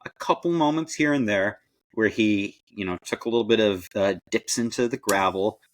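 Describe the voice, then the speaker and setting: A man with a deep, low voice co-hosts a sports podcast. He talks through the action casually and in a measured way, recorded very dry with no room sound. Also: dry recording. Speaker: podcast co-host.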